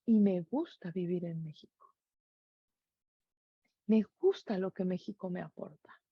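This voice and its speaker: A woman's voice: lowish voice, unhurried, breathy edge, dry recording, highs cut off. A middle-aged woman with a Mexican accent giving an earnest reflective monologue, full of rhetorical questions. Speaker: speaker sharing reflections.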